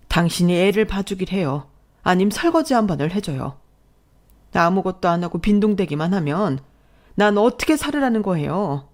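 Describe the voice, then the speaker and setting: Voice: mid-range voice, a little mellow, close to an everyday voice. A narrator voicing a long-suffering, fed-up wife and mother in dramatized storytelling dialogue.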